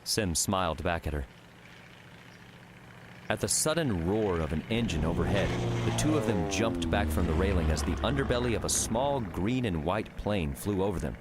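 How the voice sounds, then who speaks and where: A man's voice: low voice, expressive, normal speed, noisy background. A man reading scripted fiction narration as an audiobook narrator. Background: music.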